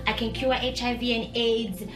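A woman's voice: fairly high pitch, level tone, moderate pace, roomy sound, over music, poor audio. A young woman from Zambia speaking candidly and earnestly about her own life. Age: young adult. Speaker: interviewee. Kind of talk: personal testimony.

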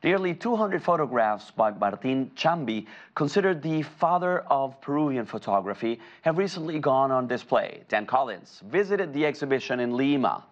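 A male news anchor introducing stories in a crisp, formal way. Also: medium pitch, expressive, moderate pace, hardly any pauses, highs cut off.

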